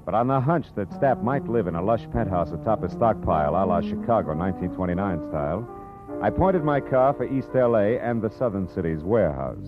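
A man voices a hard-boiled private eye with a very deep voice that swings widely as he talks, clipped and wry. The sound is a tinny old radio broadcast with music underneath. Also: lively intonation, fairly quick, lightly acted. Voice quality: dry, clipped and wisecracking. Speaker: detective narrator. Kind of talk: 1940s radio acting.

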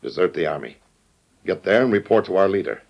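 Villainous conspirator: low, gravelly, calculating, commanding. Muffled vintage radio serial. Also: male voice, animated, brisk, full character voice. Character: cool, scheming traitor giving orders to his henchman. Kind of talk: old-time radio drama acting. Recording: muffled old radio recording.

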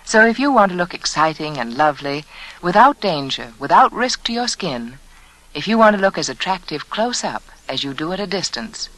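A woman's voice: lowish voice, a bit bright, poor audio. A woman reading a scripted 1940s radio commercial as a beauty spokeswoman. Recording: old 1940s radio broadcast.

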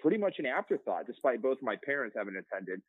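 A man's voice: medium pitch, big pitch swings, fast, no echo. A young man telling his story as a podcast guest, candid and rambling with plenty of fillers. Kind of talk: casual interview storytelling. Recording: tinny phone-line sound, dry.

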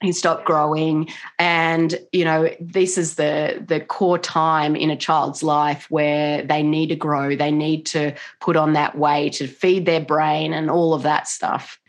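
Earnest Australian woman, lowish voice. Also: slow pace, hardly any pauses. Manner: earnest, animated, many "you know" fillers. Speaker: guest, mother and nutrition coach. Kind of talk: interview conversation.

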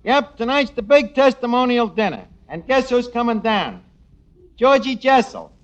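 An actor with a New York accent playing a brash, wisecracking tavern manager who mangles big words in mock-refined style, in 1940s radio comedy. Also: male voice, very high-pitched, very animated, moderate pace, muffled recording. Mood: breezy, wisecracking. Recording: noisy vintage radio broadcast, studio audience.

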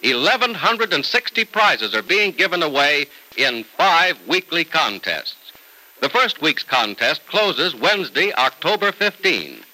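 A male 1940s radio announcer with a very bright, forceful voice and lively swings of pitch. He reads scripted copy with emphatic, polished salesmanship. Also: measured pace, poor audio.

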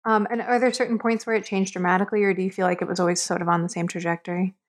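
A woman's voice: mid-range voice, level tone, very fast. A woman interviewing a writer, friendly and encouraging, with short affirmations. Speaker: interviewer, managing editor. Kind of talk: casual interview.

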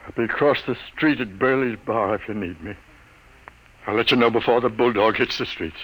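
An actor playing a gruff, sardonic newspaper city editor in his fifties, with wry, world-weary delivery in an old radio drama. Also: mid-range voice, brisk, a bit bright, lightly acted, rough recording. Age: 50s. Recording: vintage radio drama recording.

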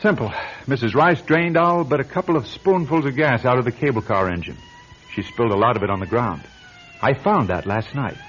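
An actor playing a cocky amateur sleuth in a radio drama, explaining things in a breezy, self-satisfied way. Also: male voice, lowish voice, lightly acted. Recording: dull radio recording with noisy ambience.

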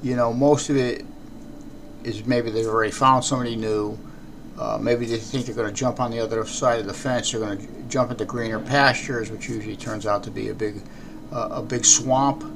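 Raspy American man, noisy podcast recording. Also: mid-range voice, fairly even intonation. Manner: halting, throat-clearing, rambling. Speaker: podcast host. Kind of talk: unscripted podcast talk. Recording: clearly noisy background.

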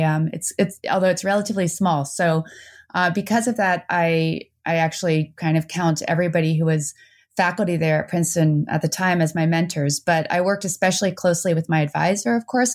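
A woman's voice: lowish voice, a little mellow. A woman in her forties being interviewed as an author and professor, answering remotely from home with many restarts and fillers. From Netherlands and New Jersey. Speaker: author and anthropology professor, guest.

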